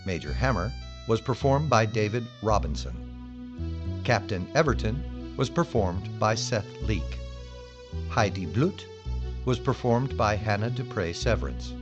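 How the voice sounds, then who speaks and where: Muffled male announcer, scripted credits read, slow, music behind. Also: lowish voice. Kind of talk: scripted narration. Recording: muffled, over loud music.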